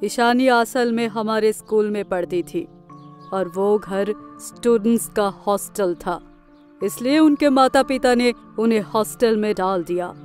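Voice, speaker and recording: A woman's voice: mid-range voice, unhurried, a little mellow, close to an everyday voice. A woman voicing a solemn school principal in a cartoon story. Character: solemn female school principal explaining a tragedy. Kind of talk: cartoon voice acting. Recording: faint music behind the voice.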